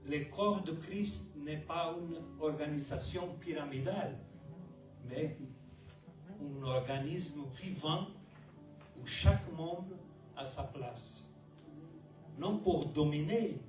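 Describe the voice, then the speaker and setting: A pastor from Brazil with a Brazilian accent preaching a sermon in his fourth language, in slow, measured phrases with pauses between them. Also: fairly high pitch, echoey room, far from the mic, music in the background, poor audio. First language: Portuguese.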